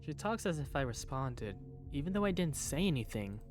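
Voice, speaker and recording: A man's voice: on the high side, big pitch swings, moderate pace, music in the background. A man playing a sleepy, easily embarrassed teenage schoolboy who narrates a visual novel in the first person. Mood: puzzled.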